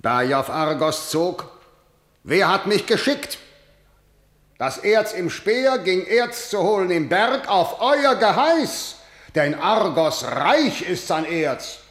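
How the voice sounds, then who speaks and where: An actor playing a proud, harsh middle-aged tyrant in a verse radio drama, declaiming imperiously. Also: male voice, fairly high pitch, expressive, slow, fairly bright tone, roomy sound. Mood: defiant.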